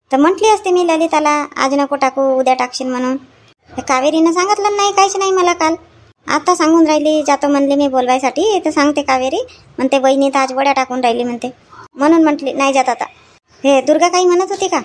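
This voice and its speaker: Woman voicing a high-pitched, fretting village housewife. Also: fairly quick, lightly acted. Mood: fretting, complaining. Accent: rural Vidarbha Marathi. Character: busy middle-aged village housewife organising a household ceremony. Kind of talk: homely cartoon comedy dialogue.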